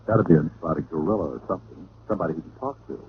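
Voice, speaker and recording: A man's voice: sits low, racing, close to an everyday voice. An American actor playing a witty, sardonic private detective who narrates his case in old-time radio style. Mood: teasing. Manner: wry, sardonic. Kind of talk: 1940s-50s radio detective acting and narration. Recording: muffled, hissy vintage radio recording.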